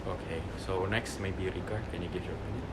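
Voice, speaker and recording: Young guy chairing a student discussion, really low voice, noisy humming room. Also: fast. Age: young adult. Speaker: discussion leader. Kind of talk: moderating a student group discussion. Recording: noisy room with steady background hum.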